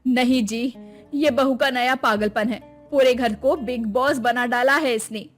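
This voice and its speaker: A woman's voice: a little high, a little slow, faint music behind, lightly acted. A woman voicing an exasperated, indignant older mother-in-law who scolds in a family drama story. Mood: complaining.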